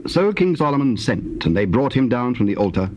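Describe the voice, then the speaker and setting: A man narrating dramatized scripture in a formal, solemn and measured style. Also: medium pitch, big pitch swings. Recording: noisy, hissy old cassette tape.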